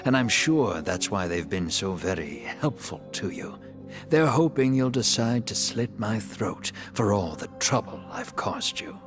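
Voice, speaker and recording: A man playing a sly, teasing dark sorcerer in a video game, with a smug, deliberate manner. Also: lowish voice, big pitch swings. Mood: wry, taunting. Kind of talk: video game cutscene acting. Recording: music under the dialogue. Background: music.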